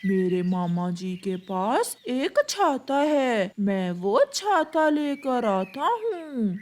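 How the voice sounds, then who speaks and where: A kind and dutiful male crow from a children's cartoon, acted by a woman. He puts others first, speaking slowly in a high register with a swooping pitch. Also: big pitch swings, darkish tone, slight background noise, heavily acted. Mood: earnest.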